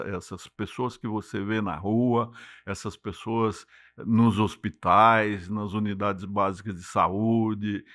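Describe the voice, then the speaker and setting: An older man with a São Paulo accent talks in a measured, explanatory manner and keeps checking in with little tag questions. His voice sits on the low side. The sound is dry and close, with no room echo. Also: fairly bright tone. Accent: São Paulo Portuguese. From Brazil. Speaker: interview guest, city climate secretary. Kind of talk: unhurried interview talk.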